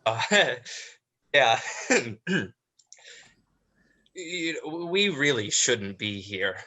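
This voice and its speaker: A man's voice: mid-range voice, big pitch swings, extremely slow, bright, forceful tone, heavily acted. An actor playing a nervous, reluctant young man in a dramatized script reading, stammering and hesitant. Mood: uneasy.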